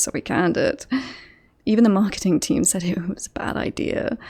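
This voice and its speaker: A woman playing a devoted bodyguard in an ASMR roleplay, politely reassuring and professional on the surface, with an obsessive streak slipping into hushed intimacy. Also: mid-range voice, gravelly. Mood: gently correcting. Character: devoted yandere bodyguard woman, composed front over creepy obsession.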